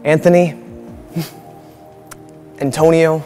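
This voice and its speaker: An actor playing a goofy, earnest older brother in a comic skit, with an American accent. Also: male voice, a little high, level tone, very slow, dark tone, soft background music. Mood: apologetic. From Wisconsin, United States.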